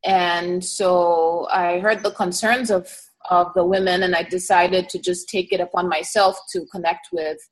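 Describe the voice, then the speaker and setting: A middle-aged woman from East Africa, a herbalist guest speaking in a relaxed, earnest interview and pausing often to think. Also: on the low side, low-quality recording. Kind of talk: casual conversational interview.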